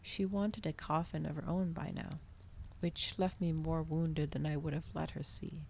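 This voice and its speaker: A woman reading a novel aloud in a soft, cozy ASMR style, with slow, dramatic literary phrasing. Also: deep voice, little pitch movement, gravelly, a little hiss or hum. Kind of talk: cozy ASMR storybook narration.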